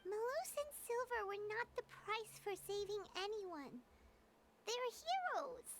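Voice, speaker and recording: A woman's voice: very high voice, big pitch swings, slow pace, slight background noise, full character voice. A woman voicing a small, childlike, earnest fairy companion in a video game, with music behind her.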